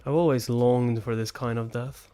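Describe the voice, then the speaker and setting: A man reads as a solemn old warrior at the end of his life, honorable and formal. The mid-pitched voice speaks in measured phrases over background music. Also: steady tone, dark tone, clean tone, dry recording, natural voice. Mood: resigned, wistful. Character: dying veteran swordmaster, honorable enemy warrior, solemn. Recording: music behind the voice.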